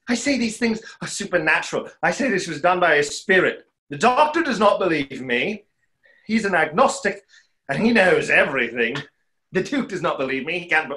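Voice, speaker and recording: Tormented young conjurer for a stage play, played by a man: highish, forceful, emphatic, bitter and lovestruck. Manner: theatrical, emphatic delivery. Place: small room at home, video call.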